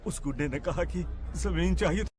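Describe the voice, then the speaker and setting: A man's voice: on the high side, normal speed, a little mellow, natural voice. A man voicing a wronged, humble villager in dubbed film dialogue, remorseful and plaintive. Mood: aggrieved, remorseful.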